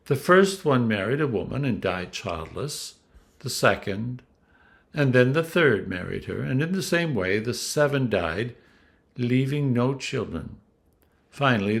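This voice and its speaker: A man's voice: medium pitch, animated, unhurried, vocal fry. A man voicing sly, falsely polite male questioners in a scripture reading, formal and pointed. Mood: testing.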